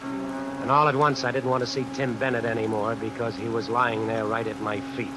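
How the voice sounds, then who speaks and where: A man's voice: mid-range voice, fairly even intonation, normal speed, lightly acted, poor audio. A man acting a tough, vengeful drifter who narrates his own story in old-time radio suspense style, wry and slangy. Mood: grim, musing. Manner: hard-boiled, wry, slangy. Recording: vintage radio recording under music, rain. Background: music and rain.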